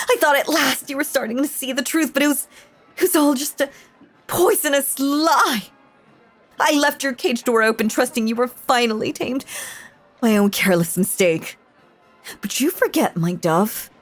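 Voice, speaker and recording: A woman playing a possessive, sinister stage magician mistress in an audio roleplay, theatrical and full of silky menace. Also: a little high. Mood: smug, threatening. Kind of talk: dramatic audio roleplay acting.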